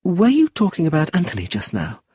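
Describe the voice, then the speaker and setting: A man's voice: a little high, wildly swooping pitch, brisk, darkish tone, breathy edge, dry recording, clean studio sound, voice pushed up, heavily acted. A man voicing a young woman, grieving but blunt and determined, who speaks tersely and firmly. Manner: terse, firm, a little raised.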